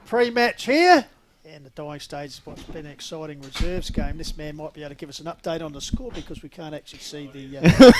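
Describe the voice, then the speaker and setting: A man with an Australian accent commentating local football and interviewing guests in a chatty, enthusiastic way. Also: high voice. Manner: chatty, enthusiastic, many fillers. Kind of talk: local radio-style footy commentary.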